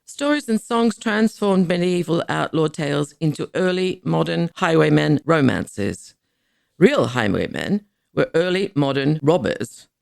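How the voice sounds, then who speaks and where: A woman narrates a scripted history piece with care and deliberation. Her pitch moves in lively swings and often settles into creak. The recording is close and dry. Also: dry recording. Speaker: podcast narrator.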